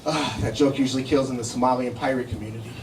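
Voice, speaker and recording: A man's voice: mid-range voice, steady tone, fast talker, darkish tone, a little hiss or hum. A middle-aged man with a New York accent performing live stand-up comedy, brash and profane, bantering with the crowd in a conversational way. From Brooklyn, New York, United States. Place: indoor comedy show in a cafe. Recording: echoey live room, miked from a distance.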